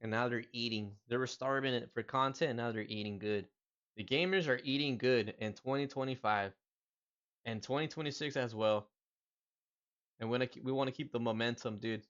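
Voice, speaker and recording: A man hosting a gaming reaction video, excitedly commenting over clips and calling everyone "dude". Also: medium pitch, fairly quick, lo-fi sound. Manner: excitable, talks over the clip, says "dude" often. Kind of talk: casual live reaction commentary.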